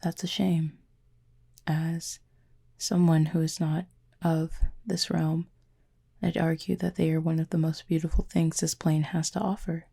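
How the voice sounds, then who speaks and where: A woman playing a gentle, formal, wounded cupid in an intimate audio roleplay, speaking softly in measured, breathy phrases. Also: deep voice, little pitch movement, full character voice. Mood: curious, wistful. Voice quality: husky, breathy, creaky. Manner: measured, formal, soft-spoken with breathy pauses.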